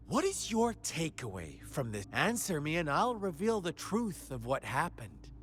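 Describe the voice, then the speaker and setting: Sinister game villain, theatrical storyteller, hugely animated pitch, slow taunting delivery, music underneath. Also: male voice. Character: theatrical sinister male villain telling a twisted fairy tale. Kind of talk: video game character acting, storytelling monologue. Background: background music.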